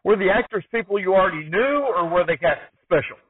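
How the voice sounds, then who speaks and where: A man's voice: high voice, expressive, brisk, breathy edge, no room sound. A man hosting a podcast interview, speaking in short, concise questions and answers over a narrow phone-like line.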